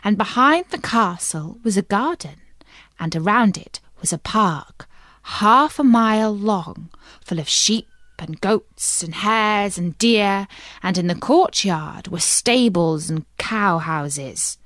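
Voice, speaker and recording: A woman narrates a children's fairy tale from a script. Her pace is slow and her pitch moves widely, in a dry recording with little room sound. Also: expressive, fairly bright tone, dry recording. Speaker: storyteller.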